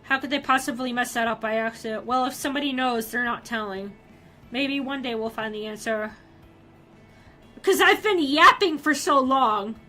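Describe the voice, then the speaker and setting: A young woman streamer reading a story aloud on her live stream, animated and excitable, often restarting her sentences. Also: fairly high pitch, moderate pace, faint music behind.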